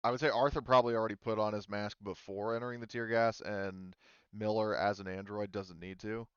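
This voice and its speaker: A man's voice: lowish voice, fairly even intonation, fairly quick, fairly bright tone, clear, resonant tone, no room sound. A man running a casual online tabletop role-playing game as game master, explaining in hesitant pieces. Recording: dull, slightly muffled audio.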